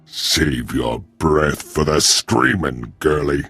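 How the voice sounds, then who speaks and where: A slow, deep, taunting thug. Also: male voice, very animated, gravelly, a bit bright, slightly rough, soft background music, heavily acted. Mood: gleefully cruel. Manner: slangy, drawn-out, taunting. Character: sadistic, mocking male thug who relishes violence. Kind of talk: dramatic video game voice acting.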